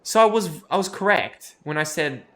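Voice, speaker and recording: A man's voice: a little high, lively intonation, normal speed, a bit bright. A man streaming a game, chatting casually in a sweary, argumentative, teasing way. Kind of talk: casual banter while livestreaming a game.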